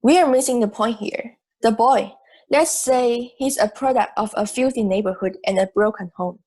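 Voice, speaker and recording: A woman's voice: a little high, moderate pace, darkish tone, husky. A woman playing a logical, assertive, matter-of-fact juror in an amateur audio drama. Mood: firm.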